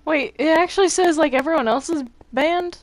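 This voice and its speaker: High-voiced young woman chatting over a game. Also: normal speed. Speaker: player in a group gaming video. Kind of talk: casual banter while playing a game.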